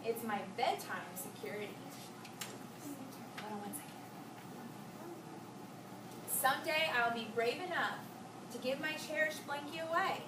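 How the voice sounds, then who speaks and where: High-voiced young woman in a noisy, echoey room. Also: a little slow, long pauses. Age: young adult. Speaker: visiting student teacher reading to children. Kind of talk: read-aloud and chat with young children. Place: indoor school classroom with background noise. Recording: roomy, distant, noisy classroom recording.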